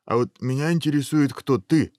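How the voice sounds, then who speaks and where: A man voicing a huge, food-loving ogre in a storybook tale, earthy, unhurried and coaxing. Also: mid-range voice, a little mellow, no room sound, clean studio sound, pitched above the performer's own voice, natural voice. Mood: matter-of-fact.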